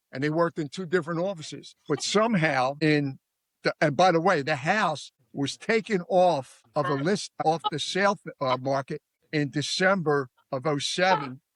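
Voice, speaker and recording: A man with a Philadelphia accent who talks through a case in a rambling, emphatic style. His voice sits a bit high for a man. It is recorded very dry, with no room sound. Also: no echo. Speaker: podcast guest. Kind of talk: casual true-crime discussion.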